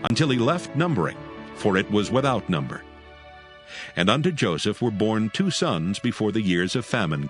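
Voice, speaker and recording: Male scripture narrator, lowish pitch with lively movement, formal storytelling over a music bed. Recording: music behind the voice.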